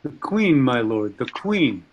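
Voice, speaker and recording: An amateur actor reading a loyal, respectful attendant in a Shakespeare play, urging and soothing. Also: male voice, medium pitch, big pitch swings, slow, highs cut off. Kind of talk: amateur Shakespeare read-aloud. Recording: video call with faint music.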